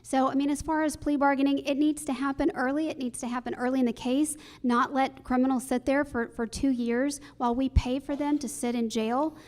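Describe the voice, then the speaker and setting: A woman's voice: high-pitched, flat delivery, fairly quick, hardly any pauses, darkish tone. A woman judge running for election, answering at a forum emphatically and repeating her key phrases. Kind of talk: candidate forum answers, campaign speech.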